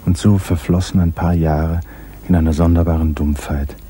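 A man narrating a literary radio drama in a measured, composed storytelling manner. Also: low voice, steady tone, mellow, breathy edge. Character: calm omniscient male narrator of a literary tale.

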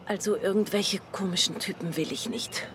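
A woman's voice: medium pitch, normal speed, close to an everyday voice. An actress playing a lonely, self-doubting single woman, hesitant and subdued, in a radio drama. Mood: downhearted. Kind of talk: German radio crime drama acting. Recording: clear background noise, vehicle ambience.

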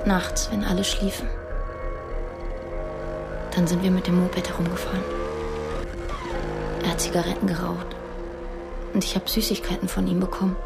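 A woman's voice: lowish voice, level tone, normal speed, creaky, long pauses, loud music behind the voice. An actress playing a wistful but determined young refugee girl in a radio play, with a simple, childlike manner. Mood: hesitant, remembering. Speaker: actor in a radio drama.

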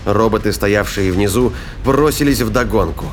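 A man's voice: on the low side, moderate pace. A man narrating a science fiction audio drama in a scripted, dramatic storytelling style. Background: prominent music bed.

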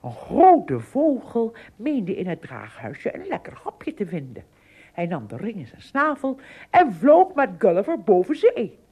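A woman narrator tells a story for a radio drama. Her lowish voice is mellow and husky, with a very lively melody. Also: unhurried.

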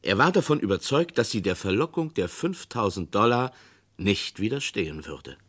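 A man giving measured, dramatic narration of a radio crime play. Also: fairly low pitch, big pitch swings, fairly bright tone.

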